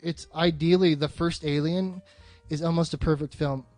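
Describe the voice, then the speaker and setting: A man with a voice pitched a little above average talks in a loose, chatty way. His speech is slangy and full of casual swearing. Faint music plays behind him. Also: fairly even intonation, moderate pace, a little mellow, dry recording. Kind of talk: casual chat about films.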